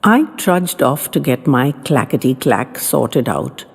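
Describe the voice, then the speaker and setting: A woman's voice: very deep voice, animated, slow, creaky, husky. A woman narrating a scripted first-person story as an elderly widow reminiscing, in a chatty, wry manner. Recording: long reverberant hall sound, faint music.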